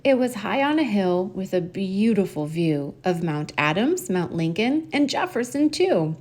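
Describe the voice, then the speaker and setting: A woman reading a rhyming children's picture book aloud in an expressive storytelling style, drawing out key words. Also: mid-range voice, unhurried. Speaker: storybook reader and host.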